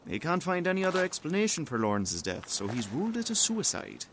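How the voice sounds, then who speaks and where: An actor playing a teasing, cynical police colleague in an audio drama, casual and wry. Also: male voice, a little high, huge pitch swings, fairly quick, a bit bright, a little hiss or hum, heavily acted.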